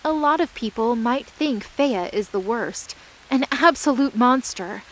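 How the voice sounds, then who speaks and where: A woman's voice: a little high, animated, measured pace, poor audio. A woman reading scripted, dramatic story narration as the narrator of a fantasy drama.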